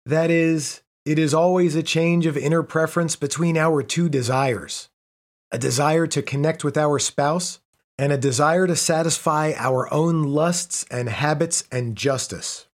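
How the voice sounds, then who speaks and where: A man reading out a scripted article on relationships in a measured, even delivery. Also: a little high. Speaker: reader.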